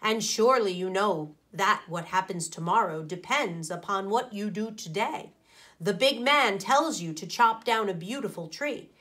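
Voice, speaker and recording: Storybook anteater read by a woman: earnest, slow, emphatic, admonishing. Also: medium pitch, animated, a bit bright. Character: earnest anteater parent, gravely cautioning.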